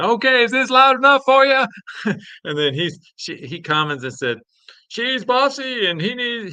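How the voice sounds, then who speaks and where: A man co-hosting a casual livestream, chatting with viewers in a joking, animated way and often chuckling. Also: mid-range voice, dry recording. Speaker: co-host of a livestream podcast.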